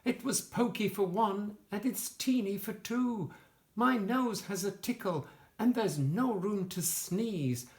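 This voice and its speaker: A woman's voice: mid-range voice, slow, darkish tone, lightly acted. A woman voicing a plaintive little old lady exclaiming in rhyme from a children's storybook. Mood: plaintive, fed up.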